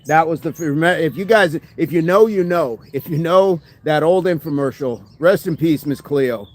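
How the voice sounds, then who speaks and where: A man's voice: fairly high pitch, moderate pace. A man hosting a fitness livestream, casually chatting and reading viewer comments, laughing often and thanking people by name.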